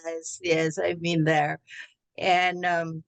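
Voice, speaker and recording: A woman hosting a community talk show interview, halting with many fillers. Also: deep voice, flat delivery. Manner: halting, many ums and uhs, chatty with laughs. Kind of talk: casual interview.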